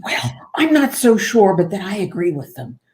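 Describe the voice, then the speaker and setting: An actress playing a gently disapproving wife and mother in a 1940s-style radio play, softly chiding. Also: medium pitch, sing-song, normal speed, lightly acted.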